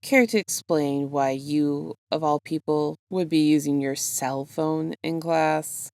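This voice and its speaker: A woman voicing a stern, weary male teacher in a deadpan, measured way while reading a story. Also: deep voice, dry recording.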